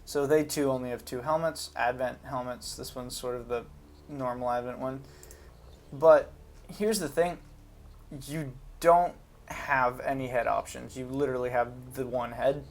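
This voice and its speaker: Casual man with a creaky voice. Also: mid-range voice, fairly even intonation, unhurried. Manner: informal, hesitant, thinking aloud. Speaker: gaming video host. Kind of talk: casual unscripted game commentary.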